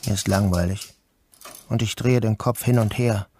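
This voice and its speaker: An actor playing a troubled, dreamy grown son who narrates his surreal day in a measured, introspective way. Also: fairly low pitch, fairly even intonation, darkish tone, a little hiss or hum, lightly acted. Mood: brooding, introspective. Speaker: narrator and protagonist. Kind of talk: first-person literary radio play narration. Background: music.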